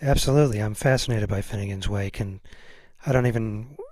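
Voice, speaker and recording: A man's voice: fairly low pitch, brisk, darkish tone, dry recording. A man hosting a casual interview, phrasing his questions and remarks in a tentative, hesitant way. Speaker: podcast host.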